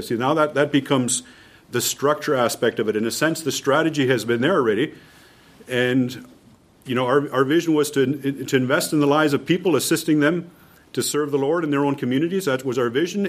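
A man with a Canadian accent giving an informal instructional talk to a group, emphatic and repeating his key points. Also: mid-range voice. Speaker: mission leader presenting at a workshop.

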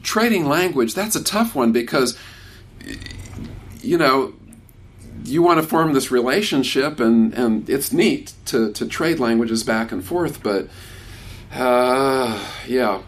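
A man's voice: mid-range voice, takes it slowly. A man in his sixties speaking casually as a workshop speaker, answering audience questions with frequent restarts. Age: 60s. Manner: warm, conversational, many restarts.

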